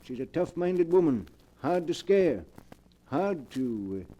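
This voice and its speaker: An actor playing a proud, shady professor who fakes ghosts for hire, in theatrical, measured 1940s radio mystery style. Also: male voice, a little high, very animated, lo-fi sound, full character voice. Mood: cautious, calculating.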